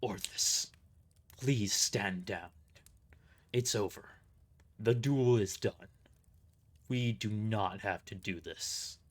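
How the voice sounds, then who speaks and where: A man's voice: lowish voice, slow pace, pauses often. A man voicing a noble crusader knight in a fantasy audio drama, formal, earnest and pleading.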